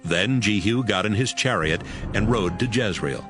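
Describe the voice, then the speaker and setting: Low-voiced man narrating expressively. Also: expressive, normal speed. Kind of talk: scripted dramatized Bible narration. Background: music.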